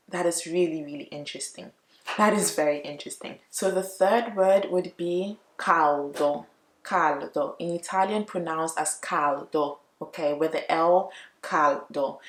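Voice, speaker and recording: A woman who speaks English natively, chatting casually to viewers as she explains language points, expressive and emphatic, repeating words for emphasis. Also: deep voice. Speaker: YouTube language vlogger. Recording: roomy, echoey room.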